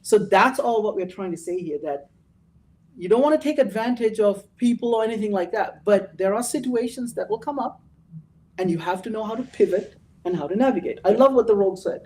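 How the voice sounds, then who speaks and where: A man's voice: sits high, a little fast, darkish tone, far from the mic, rough recording. A host leading a casual trading show and giving motivational advice, often ending his points with "right?". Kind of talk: casual chat.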